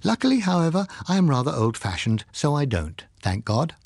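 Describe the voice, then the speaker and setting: A man's voice: fairly high pitch, sing-song, a little mellow, dry recording, studio quality. Man playing a learned, drily ironic scientist, swooping intonation. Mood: wry, grave. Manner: deliberate, lecturing, crushing. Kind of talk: dramatised audiobook reading.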